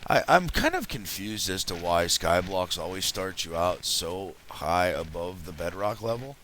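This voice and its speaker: A man hosting a casual game commentary, his voice raspy from having just woken up, rambling and chatty as he plays. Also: sits low, lively intonation, a little slow, fairly bright tone, a little hiss or hum. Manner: rambling, chatty, self-correcting. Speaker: let's play host.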